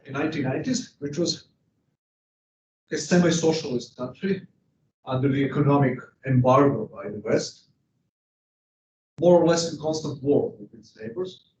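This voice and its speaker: A man's voice: mid-range voice, normal speed, pauses often, darkish tone, a little hiss or hum. Man lecturing from across a room. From Serbia. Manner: emphatic, poses rhetorical questions to the class. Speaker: economics lecturer. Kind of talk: classroom lecture. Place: classroom. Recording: distant, echoey, dull classroom recording.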